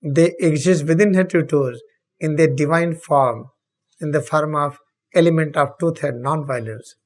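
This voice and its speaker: A man with an Indian accent leads a guided meditation, speaking solemnly and deliberately in short phrases with long pauses. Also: fairly high pitch. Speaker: spiritual teacher leading guided meditation.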